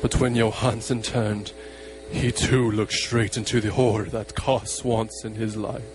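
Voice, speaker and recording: Slow, solemn man narrating horror. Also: on the low side, little pitch movement, music in the background. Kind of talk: dramatic scripted horror narration for amateur radio play.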